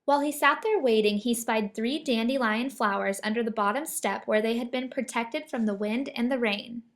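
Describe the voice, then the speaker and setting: A woman narrates a children's story in a fairly high voice. She reads expressively, as a storyteller would. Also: moderate pace. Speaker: picture book reader. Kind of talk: children's story read aloud.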